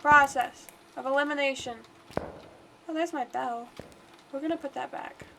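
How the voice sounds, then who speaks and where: Congested high-voiced woman streamer. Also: fairly even intonation, moderate pace, vocal fry, very noisy. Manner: chatty and rambling, talks to the game aloud. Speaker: livestreamer. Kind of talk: casual gameplay commentary.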